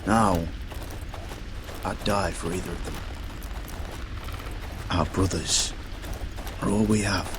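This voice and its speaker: An actor playing an earnest, kindly young sworn brother who mentors recruits in a fantasy video game, grave and measured. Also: male voice, low voice, fairly even intonation, background noise. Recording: noticeable game ambience behind.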